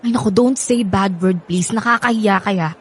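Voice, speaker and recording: A woman's voice: mid-range voice, moderate pace, husky, natural voice. A woman playing a sassy, skeptical young woman in a radio drama, scolding and sarcastic. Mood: sarcastic, dismissive.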